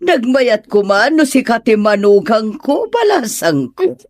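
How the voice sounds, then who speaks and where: A woman's voice: a little high, sing-song, unhurried, a little mellow, no echo. A woman playing an ailing, meddling older mother with an Ilocano accent in a radio drama. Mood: coaxing, teasing.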